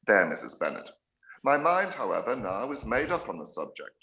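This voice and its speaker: A man playing a dry, sardonic middle-aged gentleman in a period radio play, speaking in a wry, measured, formal way. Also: lowish voice, sing-song, vocal fry, exaggerated voice. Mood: wry amusement. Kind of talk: live-read radio play of a period novel.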